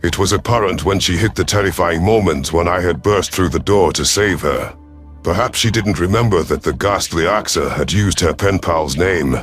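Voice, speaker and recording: Very deep-voiced man narrating brooding sci-fi. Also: normal speed, faint music behind. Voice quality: growling. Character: brooding, ruthless but conflicted male reptilian alien commander. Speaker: narrator of an audio story channel. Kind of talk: first-person scripted sci-fi narration in character.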